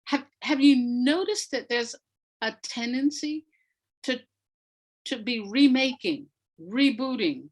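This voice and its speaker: A woman speaking as an interview guest, reflective and emphatic, deliberately repeating words to stress her points. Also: high voice, expressive. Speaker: interview guest, audio drama writer.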